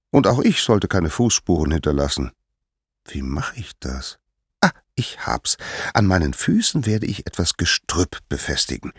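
A man's voice: fairly low pitch, no echo, studio quality, voice pushed up, exaggerated voice. A man voicing a sly, playful little boy god who schemes and feigns innocence in a storybook tale. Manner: sly, wide-eyed, playful.

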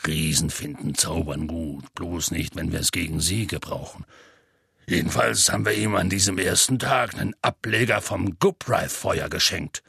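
A man voicing a huge, gruff, good-natured male half-giant who tells a rambling, folksy story in a deep gravelly rumble. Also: moderate pace, bright, forceful tone, no echo, studio quality, full character voice. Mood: telling tale. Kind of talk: audiobook character voice.

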